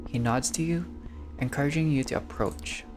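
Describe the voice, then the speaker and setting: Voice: medium pitch, normal speed, over music. A gamer reading a visual novel aloud in a casual let's-play style, breaking off into joking, sweary asides.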